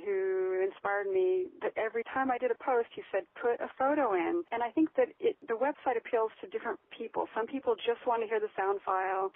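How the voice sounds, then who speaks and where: A woman's voice: medium pitch, no echo. An American woman, a blogger and author, telling her story in a casual interview, enthusiastic and full of "you know"s. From United States. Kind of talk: casual interview over the phone. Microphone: telephone. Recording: tinny phone line, dry.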